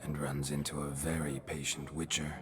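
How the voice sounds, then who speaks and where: An actor playing a weary, gruff male monster hunter in a video game, terse and deadpan, with a low gravelly voice. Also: normal speed, a crowd in the background. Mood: guarded, blunt.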